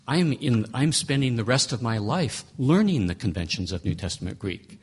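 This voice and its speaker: A man's voice: mid-range voice, animated, moderate pace, breathy edge. A man giving a conversational lecture on reading scripture, emphatic and fond of rhetorical questions, often restarting his phrases. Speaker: Bible teacher.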